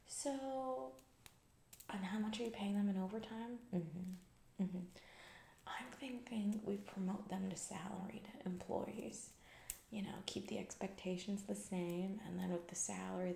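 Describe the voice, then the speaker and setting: A woman playing a callous, self-absorbed, distracted female CEO in a soft-spoken ASMR comedy roleplay, breathy, rambling and trailing off. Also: medium pitch, echoey room, slight background noise. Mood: breezy, callous. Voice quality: breathy soft voice with heavy vocal fry. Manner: rambling, trailing off, vague filler-heavy corporate talk. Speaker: ASMR performer.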